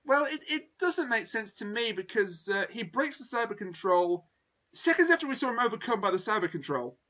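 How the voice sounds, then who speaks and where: Male host, high bright voice, wordy and dry, a bit tired; lo-fi call sound. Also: big pitch swings, brisk. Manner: wordy, self-correcting, dry humor. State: tired, late at night. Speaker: podcast host. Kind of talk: casual review discussion.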